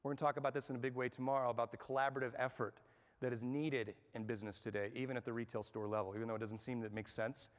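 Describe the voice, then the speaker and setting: Man, workshop speaker, mid-pitched voice, energetic, quizzes the crowd, long hall reverb. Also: rapid-fire. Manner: energetic and conversational, asks the audience questions. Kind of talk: live seminar talk to an audience. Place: large hall with an audience.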